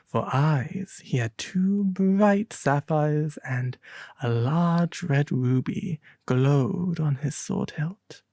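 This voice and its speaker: A man voicing an aristocratic male demon prince, pompous and refined, with soft, sometimes breathy, drawn-out delivery in an ASMR roleplay reading. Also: on the high side, dry recording, clean studio sound. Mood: gentle, storytelling.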